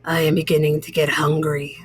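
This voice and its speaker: A woman's voice: low voice, monotone, fairly quick, husky, voice pushed down. A woman voicing a menacing, hungry old male frog ghost in a children's storybook.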